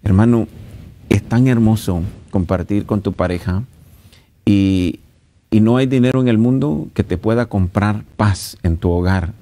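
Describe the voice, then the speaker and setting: A man's voice: fairly low pitch, a little slow, mellow. A middle-aged man with a Central American accent preaching conversationally as a pastor, earnest and speaking directly to his listeners. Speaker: pastor teaching at a marriage retreat.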